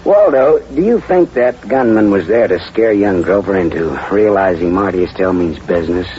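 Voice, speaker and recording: An actor playing a hard-boiled private detective in 1940s radio style, sardonic and slangy. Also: male voice, on the low side, expressive, moderate pace, dark tone, natural voice. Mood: brusque. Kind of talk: 1940s radio acting, noir narration and dialogue. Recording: muffled, noisy vintage radio broadcast.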